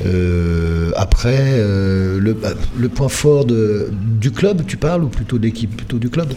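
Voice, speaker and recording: A man's voice: on the low side, lively intonation, a little slow, dark tone, slight background noise. A middle-aged man from the Paris region, answering radio interview questions conversationally and often searching for words. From Paris region, France. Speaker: handball coach being interviewed. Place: radio studio.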